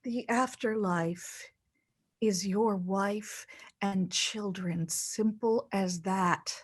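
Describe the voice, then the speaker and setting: An actress playing a pointed, teasing voice in a collector's head, emphatic and deliberate, in a staged reading. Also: female voice, mid-range voice, no room sound. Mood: matter-of-fact. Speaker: actor in a staged play reading. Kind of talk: theatrical staged reading, comic dialogue.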